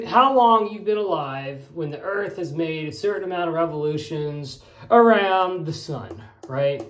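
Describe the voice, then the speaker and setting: Chatty man in his forties, echoey bathroom. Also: a little high. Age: 40s. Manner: chatty, rambling, folksy explanations. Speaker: shaving vlog host. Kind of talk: casual chat while shaving. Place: small home bathroom, indoors.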